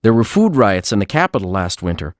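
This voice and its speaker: A man's voice: fairly low pitch, very animated, brisk, dry recording, clean studio sound. A man playing a serious, learned mage in a fantasy audiobook, explaining things in a matter-of-fact way. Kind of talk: full-cast audiobook acting.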